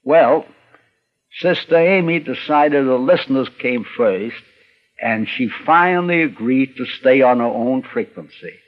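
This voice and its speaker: A man's voice: mid-range voice, lively intonation, measured pace. Older man reminiscing on a dull radio recording. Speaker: radio critic and reminiscing narrator. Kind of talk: documentary narration, reminiscing.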